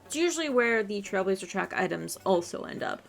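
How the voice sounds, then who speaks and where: A woman's voice: mid-range voice, very animated, brisk, gravelly. A woman giving a casual gaming guide commentary as a video host, chatty, informal and encouraging. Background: background music.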